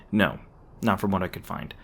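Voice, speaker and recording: A man playing an edgy, sarcastic but secretly soft-hearted monster hunter in an ASMR roleplay, prone to stammering when flustered. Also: on the low side, vocal fry, a little hiss or hum, full character voice.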